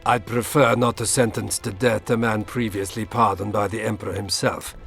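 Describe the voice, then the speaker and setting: An actor playing a courteous, scheming middle-aged Imperial ambassador in a fantasy game, formal and politely menacing. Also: male voice, fairly low pitch, fairly even intonation, normal speed, vocal fry, a bit bright, soft background music. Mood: smugly menacing. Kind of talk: scripted fantasy game dialogue.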